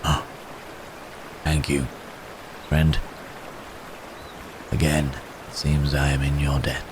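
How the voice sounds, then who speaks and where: Male actor as wounded werebeast in human form, ASMR drama. Extremely deep, gentle, troubled, sighing, old-fashioned wording. Clear rain-like ambience behind. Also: monotone, background noise. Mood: relieved, grateful. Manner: halting, formal old-fashioned phrasing, sighing. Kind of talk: ASMR roleplay acting.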